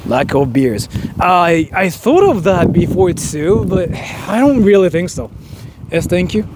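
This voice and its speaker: A man's voice: sits high, expressive, measured pace, very noisy. A man, chatting casually on a live stream and reading viewer donations, rambling and joking with lots of "like.". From Japan. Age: 30s. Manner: rambling, joking, heavy use of "like".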